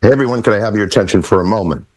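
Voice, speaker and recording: A man's voice: on the low side, rapid-fire, darkish tone, no room sound. A man chatting casually as a DJ panelist, explaining things and acting out little examples as he goes. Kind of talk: casual chat with acted examples.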